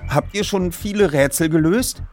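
A man's voice: high voice, moderate pace, a little mellow, voice pushed up, lightly acted. A man reading the part of a sad, withdrawn young boy in an audiobook. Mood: subdued, curious. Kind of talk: audiobook character dialogue.

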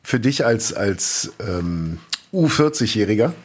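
A man's voice: fairly low pitch, takes it slowly. A man co-hosting a casual podcast, talking loosely with many fillers and reading out news items. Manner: relaxed, reads news items aloud with frequent fillers. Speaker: podcast co-host.

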